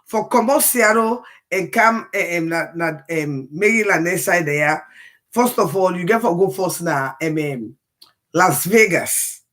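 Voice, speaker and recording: A hoarse woman from Sierra Leone with a Sierra Leonean accent, talking casually on a livestream and repeating phrases for emphasis. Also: on the low side, lively intonation, unhurried, a bit bright. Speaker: livestream commentator.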